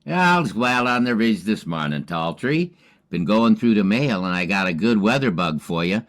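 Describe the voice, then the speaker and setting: A man's voice: lowish voice, lively intonation, normal speed, lightly acted. A man playing a genial, wry old radio lookout in an audio drama, with a folksy, colorful way of talking. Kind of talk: amateur audio drama acting.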